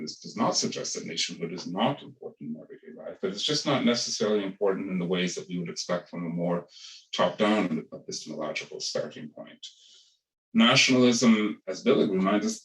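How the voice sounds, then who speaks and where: Male academic panelist, deep voice, halting restarts, roomy distant sound. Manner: thoughtful, hesitant with many restarts. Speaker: panel speaker, ethnographer. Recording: echoey room, far from the mic.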